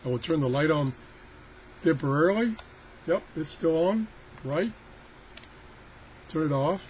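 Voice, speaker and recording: A man's voice: on the high side, animated, a little slow, a little mellow, slight background noise, poor audio. A man giving a casual hands-on product demonstration as a video reviewer, rambling and thinking aloud. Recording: weather radio chattering in the background.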